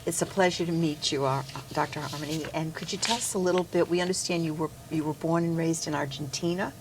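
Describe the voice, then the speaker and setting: A woman who is the guest co-host, speaking softly and briefly when she asks her questions. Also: deep voice, steady tone, quick pace, noisy background.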